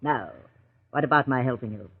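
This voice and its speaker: An actress playing a haughty older society matron in an old radio drama, pompous and grandly scolding. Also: female voice, rumbling low, a little fast, breathy edge. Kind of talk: 1940s radio drama acting. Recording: muffled old radio recording.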